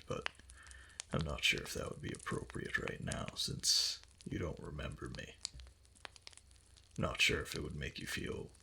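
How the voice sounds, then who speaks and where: A man playing a doting, obsessive fox-spirit husband in an intimate ASMR roleplay, breathy and half-whispered, tender and hesitant, close to the microphone. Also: a bass voice, pushed and bright, slight background noise, heavily acted. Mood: gentle, coaxing.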